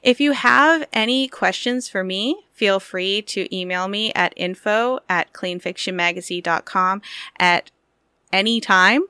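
A woman gives conversational promotional talk as an interview guest. Her voice is bright and very clean, at a medium pitch, in a dry recording. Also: a little slow, clean tone, no echo. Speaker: magazine publisher, interview guest.

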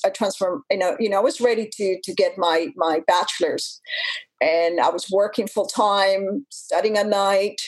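Interview guest: woman, early fifties, husky voice, earnest, halting, restarts a lot. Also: lowish voice, poor audio. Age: 50s. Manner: earnest, many restarts and fillers. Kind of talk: interview talk.

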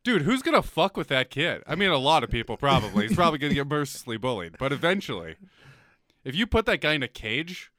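A young man from North America riffs on a comedy podcast with a bright, lively voice that swings widely in pitch. He rants, swears freely and laughs a lot. The sound is close and dry. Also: quick pace, no echo. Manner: animated, profane, laughs often, ranting. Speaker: podcast co-host. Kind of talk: casual comedic chat.

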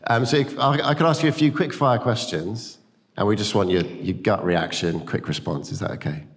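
British man, informal church host, fairly low voice, long reverb of a large hall. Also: moderate pace. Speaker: church host introducing a guest. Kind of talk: informal hosting and spoken prayer.

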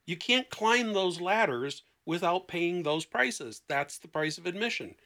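A man speaking conversationally as a therapist on a podcast panel, blunt and emphatic, with deliberate pauses and rhetorical questions. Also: high voice, lively intonation, pushed and bright. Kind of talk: conversational podcast talk with acted-out client dialogue.

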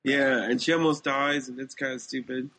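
A man's voice: medium pitch, fairly even intonation, normal speed, sharp tone. A man co-hosting a casual podcast, giving opinions in a blunt, dismissive way. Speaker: podcast co-host. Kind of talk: casual opinionated review chat.

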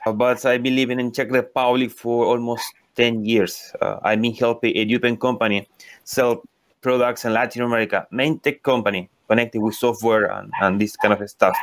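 A man's voice: medium pitch, fairly even intonation, moderate pace. A man from Chile with a Chilean Spanish accent, introducing himself informally as a sales expert on a panel.

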